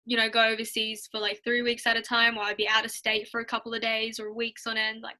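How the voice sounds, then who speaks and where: A young woman, a Mandarin speaker, chatting as a guest and telling animated stories with frequent laughter. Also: on the high side, quick pace. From Australia. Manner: animated storytelling, laughs often. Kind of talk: casual chat.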